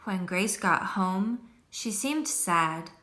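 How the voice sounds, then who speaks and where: Woman doing a storybook read aloud, bright clear tone, takes it slow, music playing behind. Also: mid-range voice. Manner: expressive, deliberate storytelling delivery. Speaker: teacher reading a picture book to children.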